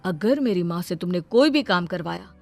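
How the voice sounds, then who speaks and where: A woman's voice: fairly low pitch, lively intonation, moderate pace, creaky, soft background music. A woman voicing a dutiful, stern young husband, firm and protective, in a cartoon storybook tale. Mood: angry, scolding. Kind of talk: Hindi cartoon storybook acting.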